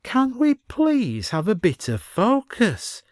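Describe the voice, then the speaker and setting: Man voicing a brisk, bossy woman, raised pitch. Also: huge pitch swings, no room sound, clean studio sound, exaggerated voice. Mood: urgent. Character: bossy, impatient woman leading a ragtag band.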